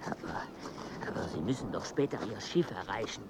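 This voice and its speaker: A man's voice: fairly low pitch, moderate pace, noisy background. An actor playing an eager male naturalist who urges his companion on and tells stories in a radio play. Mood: urging. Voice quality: urging, animated storyteller.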